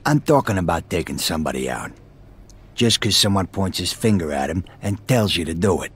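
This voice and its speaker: An actor playing a loud, middle-aged American mobster with an East Coast city accent, husky, chummy but menacing. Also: male voice, sits low, lively intonation, fairly quick. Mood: firm, businesslike. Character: loud, profane middle-aged male mobster recruiting killers.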